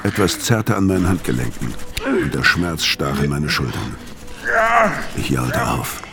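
Very deep-voiced, hard-bitten hitman. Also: expressive, a little slow, vocal fry, full character voice. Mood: grim, pained. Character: weary, hard-bitten male hitman recounting his brutal past. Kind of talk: radio crime drama, first-person narration and dialogue. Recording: music behind the voice.

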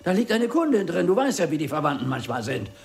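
A man's voice: on the high side, very animated, fast talker, vocal fry. An actor playing a formal, deferential, fussy male undertaker in a radio drama, often defending himself. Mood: impatient, uneasy. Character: prim, defensive male undertaker confessing his secret. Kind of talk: German radio drama acting.

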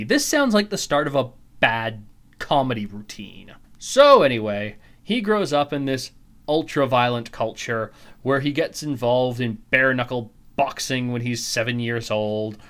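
Young guy podcasting solo, snarky and sweary, mid-pitched voice. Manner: sarcastic, profane ranting. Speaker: podcast host.

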